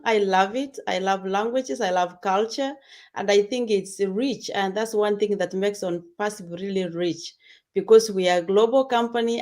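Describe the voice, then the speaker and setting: Lady host chatting on an online panel show, medium pitch. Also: a little mellow. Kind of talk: casual online hosting.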